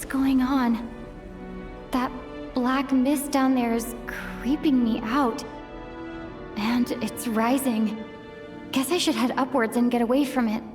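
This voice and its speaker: A woman's voice: a little high, fairly even intonation, takes it slowly, long pauses, over music. An actress playing a caring, thoughtful young female traveler in a video game, musing to herself. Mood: uneasy. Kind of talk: video game voice acting, inner monologue.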